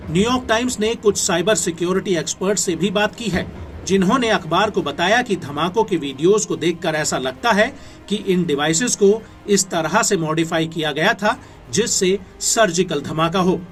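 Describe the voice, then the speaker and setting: Indian guy doing scripted news voiceover, pretty high voice, emphatic, bit of music behind. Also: moderate pace, a bit bright. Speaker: news narrator. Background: background music.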